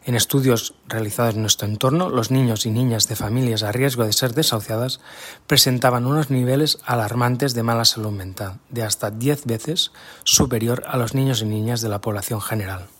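A man with an accent from Spain reading a scripted statement in a formal, measured way. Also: medium pitch, a bit bright, slightly rough. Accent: Spanish, from Spain. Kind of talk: scripted statement read aloud.

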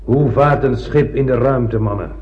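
Commanding, eccentric starship captain played by a man, very unhurried theatrical speech, muffled radio-drama recording. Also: mid-range voice, lightly acted. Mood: testing, grandiose. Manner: theatrical, deliberate, quizzing his crew. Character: commanding, eccentric, grandiose blind male spaceship captain. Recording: muffled old radio play.

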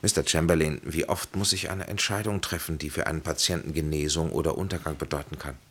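An actor playing a grave, authoritative physician in a German radio play, speaking in measured, emphatic, formal phrases. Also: male voice, rumbling low, steady tone, fairly bright tone, slightly rough, full character voice. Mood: solemn. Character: grave, authoritative physician and counsellor, solemn and consoling.

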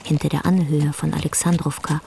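A woman narrating a radio drama in a measured, hushed literary storytelling manner. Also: low voice, flat delivery, vocal fry, slight background noise. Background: plucked guitar music.

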